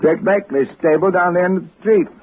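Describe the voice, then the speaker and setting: An actor playing a grizzled, blind old American local, folksy and foreboding, in a 1960s radio drama. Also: male voice, sits high, brisk. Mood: folksy warning. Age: older. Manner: folksy, drawling, hesitant warnings. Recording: muffled vintage radio recording, faint noise.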